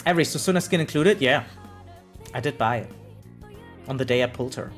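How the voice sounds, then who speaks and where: A man's voice: medium pitch, a little fast, soft background music. A man who streams games, giving informal, emphatic livestream commentary and often checking for agreement. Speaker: variety game streamer, host. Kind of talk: casual livestream commentary reacting to chat.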